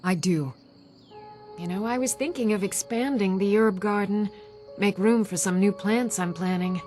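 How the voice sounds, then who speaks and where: A woman's voice: mid-range voice, moderate pace, a little mellow, close to an everyday voice. A woman playing a plain-spoken, homely village wife and mother in a video game, with folksy rustic phrasing. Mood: homely, mildly worried. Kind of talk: video game character dialogue. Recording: music behind the voice.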